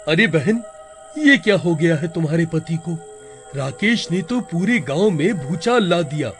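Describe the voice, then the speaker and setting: Man as a worried villager, high male voice, earnest, exclaiming, comic horror storytelling, faint music. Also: expressive, a little slow, a bit bright. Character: alarmed, concerned village man. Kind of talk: Hindi horror-comedy audio storytelling.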